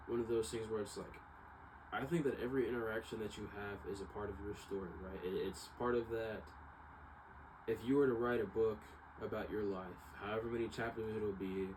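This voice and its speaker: A young man with a fairly low voice talks off the cuff, as in a personal vlog. He is reflective and hesitant, looping back to restate his thoughts. Steady noise is clearly audible behind him. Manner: hesitant, reflective, circling back over points. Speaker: vlogger. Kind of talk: casual rambling monologue to viewers.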